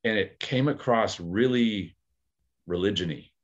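Deep-voiced man, hesitant and thoughtful. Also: a little slow, highs cut off. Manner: thoughtful, hesitant, many fillers and restarts. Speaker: aikido practitioner, podcast co-host. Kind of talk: casual podcast discussion.

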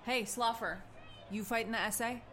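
A woman's voice: a little high, steady tone, moderate pace, a bit bright, a little hiss or hum, close to an everyday voice. A woman playing a friendly, needy cyborg war veteran scrounging for parts in an audio drama. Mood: chummy, hopeful.

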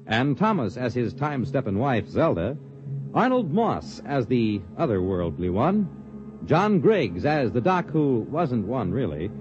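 A male announcer reading the closing credits in a formal, emphatic radio announcing style. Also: mid-range voice. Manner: crisp, emphatic announcer delivery. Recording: dull 1950s radio broadcast.

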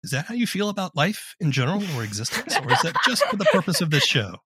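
A man interviewing in a measured, reflective conversational way as host. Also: high voice, very animated, sharp tone, husky, no echo.

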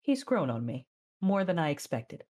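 A proud, sly Sith woman telling her own story in a space-fantasy audio drama. Her voice is very low and husky, swinging expressively between cool narration and seductive command. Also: sing-song, moderate pace, a little mellow. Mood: teasing, seductive. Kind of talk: audio drama first-person narration and dialogue.

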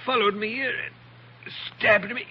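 Badly wounded man gasping out a warning, high pitched, failing, 40s radio, faint hiss. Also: steady tone, takes it slowly. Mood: pained, desperate. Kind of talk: 1940s radio acting. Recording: muffled, noisy 1940s radio recording.

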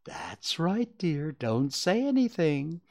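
A storybook reader voicing a brisk, motherly female kangaroo, teasing and firm, by turns soothing and mock-severe. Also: medium pitch, big pitch swings, a little mellow, pitched above the performer's own voice. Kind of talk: children's storybook character voice.

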